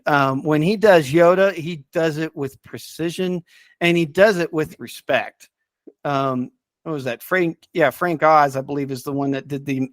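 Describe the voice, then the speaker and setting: A man hosting a casual podcast, talking enthusiastically but haltingly as he searches for names and details. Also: fairly high pitch, no echo. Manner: enthusiastic about the subject. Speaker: podcast host.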